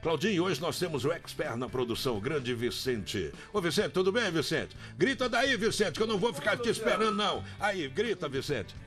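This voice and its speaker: A man's voice: fairly high pitch, sing-song, brisk, few pauses, a bit bright. A man with a Brazilian accent hosting live morning radio, bantering in a playful, teasing, exclamatory way. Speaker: radio host. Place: radio studio. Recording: music playing underneath. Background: music bed.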